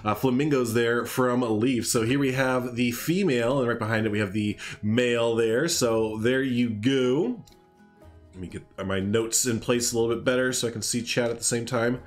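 A man with a congested, nasal voice hosting a casual live stream, chatting in a rambling way with lots of fillers. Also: medium pitch. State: congested, stuffed up. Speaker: streamer hosting a game showcase.

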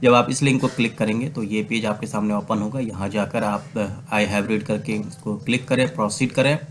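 A man with a fairly low voice narrates a screen-share walkthrough as a channel host. His delivery is steady and explanatory, reading out numbers and names one at a time. A faint hum sits behind the voice-over. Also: normal speed. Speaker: channel host giving admission updates. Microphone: voice-over recorded apart from screen capture.

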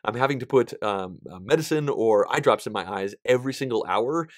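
A man in his thirties with a somewhat nasal voice, giving a casual, animated vlog update about his board game channel. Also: mid-range voice, fairly quick, no room sound, clean studio sound. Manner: chatty and animated, gesturing with both hands, frequent fillers. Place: small indoor studio, plain backdrop.

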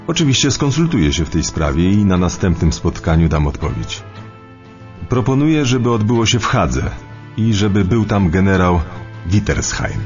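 Low-voiced wary British agent. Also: male voice, big pitch swings, normal speed, darkish tone, dull audio, faint music behind. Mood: formal, guarded. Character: British intelligence agent, wary, formal, shrewd. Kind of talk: radio documentary drama acting.

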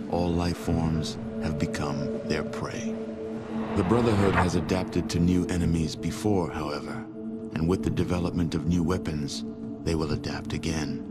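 Grave, deep-voiced man playing a war chronicler. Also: natural voice. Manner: grave, measured storyteller delivery. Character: solemn male war chronicler of a post-apocalyptic order. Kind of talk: scripted game cutscene narration. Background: music.

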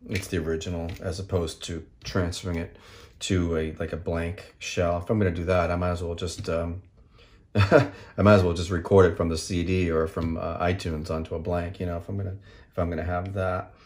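A man narrating a hands-on repair tutorial in a casual, unscripted way, explaining step by step in a halting manner. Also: deep voice, level tone, darkish tone. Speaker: tutorial host.

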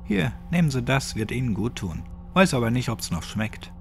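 A man's voice: mid-range voice, sing-song, moderate pace, creaky, faint music behind. A man voicing an elderly, quirky retired gardener in a horror audiobook, chatty, colloquial and grimly cheerful. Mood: wry, friendly. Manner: folksy, chatty, colloquial rambling.

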